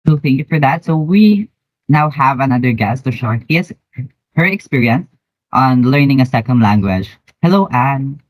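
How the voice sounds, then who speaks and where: A man with a Filipino accent hosting an educational podcast in a deliberate, formal way, introducing and questioning guests from prepared notes. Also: mid-range voice, expressive, no echo, rough recording. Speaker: podcast host.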